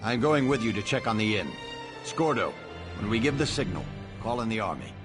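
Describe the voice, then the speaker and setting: A man's voice: on the low side, very animated, brisk. A man playing a grave, commanding, grieving nobleman in a fantasy video game. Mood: resolute. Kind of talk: scripted video game dialogue. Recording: music behind the voice.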